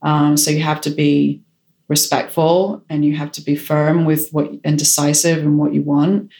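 A woman with a low, strong voice talks frankly about her work as a director and photographer. She sounds decisive and self-assured, speaking in full, firm sentences. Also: flat delivery, moderate pace, dark tone. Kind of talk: interview answers.

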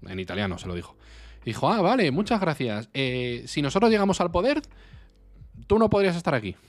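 A man's voice: on the high side, big pitch swings, brisk, no echo. A man with an accent from Spain co-hosting a casual debate podcast, probing with hypothetical questions in colloquial speech. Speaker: podcast co-host.

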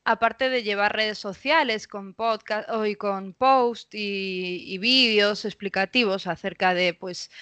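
A woman in her thirties with a Spain Spanish accent, a podcast guest talking casually and thoughtfully, pausing often with fillers. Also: mid-range voice, a bit bright, clean tone, dry recording. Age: 30s. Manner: thoughtful, pauses with frequent fillers. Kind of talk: casual interview talk.

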